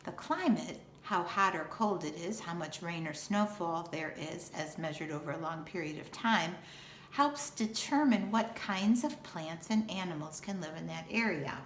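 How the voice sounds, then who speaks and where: A woman in her fifties, a teacher reading a children's picture book aloud in an expressive, deliberate way. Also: lowish voice. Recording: roomy echo, faint background noise.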